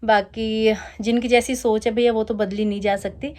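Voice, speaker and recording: A woman's voice: on the high side, flat delivery, normal speed, a little mellow. A woman in her thirties chatting casually in a home vlog, teasing and laughing as she talks to her viewers. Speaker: vlogger. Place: home at night, DJ music playing outside.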